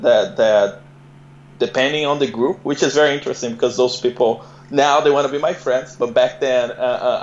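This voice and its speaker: A man's voice: medium pitch, echoey room, highs cut off. A young man from Brazil with a Brazilian Portuguese accent, speaking as a guest in a casual interview, hesitant and full of fillers and repeated words.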